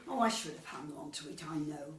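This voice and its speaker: A woman's voice: low-pitched, lively intonation, brisk, far from the mic. A woman playing a sweet, scatty elderly widow in an amateur radio comedy, with a fussy, rambling manner. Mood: flustered, earnest. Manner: fussy, flustered, innocently rambling. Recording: echoey room, off the mic.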